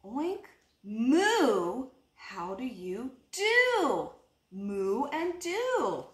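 A woman in her thirties reading picture books aloud to young children, expressively, with drawn-out words and little asides to her listeners. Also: high-pitched, wildly swooping pitch. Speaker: children's story reader and teacher. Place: small living room, indoors on a couch. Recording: roomy echo.

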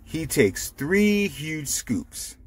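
A man reading a picture book aloud to children, explaining it simply with animated asides. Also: a little high, very slow, slightly rough. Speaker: storytime reader.

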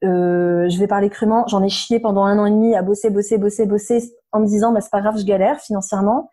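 A woman in her thirties, slightly hoarse, talking frankly and animatedly as an interview guest, with many fillers. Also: medium pitch, fairly even intonation, quick pace, mellow. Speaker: interview guest, nutrition therapist. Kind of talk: casual conversational interview.

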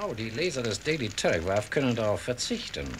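An actor playing a cool British gentleman reporter with a dry, worldly manner in a radio play. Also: mid-range voice, very animated, fast, noisy background, close to an everyday voice. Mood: grave observing. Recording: music behind the voice.

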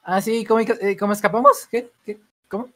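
A man's voice: high-pitched, fairly even intonation, a little fast, heavy background noise. A young man, clowning around in a comedic Minecraft roleplay with a mock-whiny tone. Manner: goofy, mock-whiny, jokey. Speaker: gaming roleplay creator. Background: background music.

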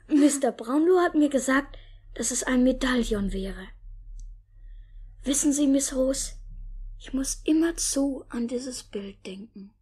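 A young male as a gentle orphan boy in an old radio play. He speaks slowly and earnestly in a high, soft voice. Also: lively intonation, husky, exaggerated voice. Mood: wistful, eager. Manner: earnest, soft-spoken, polite. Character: Oliver Twist, gentle, grateful orphan boy. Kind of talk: 1950s German radio drama acting.

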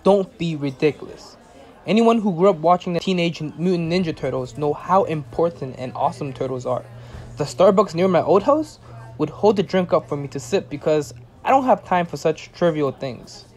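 A haughty, entitled woman customer in a radio comedy, played by a woman. She is low-pitched and curt, very sure of herself. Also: lively intonation, moderate pace, darkish tone. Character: pompous, absurdly entitled coffee shop customer. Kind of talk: amateur radio play acting.

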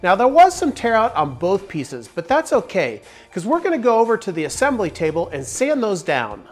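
A man's voice: high-pitched, wildly swooping pitch, normal speed, faint music behind. Upbeat man explaining workshop steps. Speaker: woodworking channel host. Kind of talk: workshop tutorial narration. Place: large wooden workshop, indoors.

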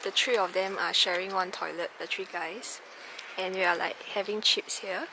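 A woman's voice: medium pitch, steady tone, moderate pace. A young woman, chatting casually outdoors as a travel companion. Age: young adult. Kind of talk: casual chat. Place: outdoors by a station. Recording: clear outdoor background noise.

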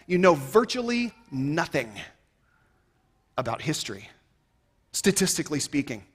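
A man in his thirties giving a keynote speech on stage, emphatic and rhetorical, swinging between loud and quiet phrases, on a headset mic. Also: on the high side, sing-song, measured pace, slightly rough. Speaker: keynote speaker. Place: stage of a large conference hall. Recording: reverberant large hall.

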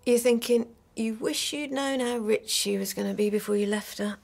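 A woman's voice: fairly high pitch, normal speed, creaky, a little mellow. A woman acting a married man's sceptical, shrewd girlfriend in a naturalistic radio drama. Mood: knowing, sceptical.

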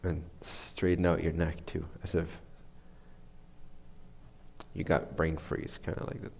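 Deep voiced guy, teaching a guided meditation, takes his time, pauses to find words. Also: little pitch movement, gravelly, darkish tone, a little hiss or hum. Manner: unhurried, searching for words.

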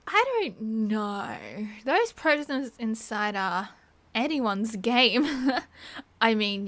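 A woman's voice: on the high side, fairly bright tone, no room sound. A young woman with an Australian accent playing a cheerful, teasing partygoer in a casual ASMR roleplay, chatting in playful slang. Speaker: roleplay voice actor. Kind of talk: casual ASMR roleplay, one side of a chat.

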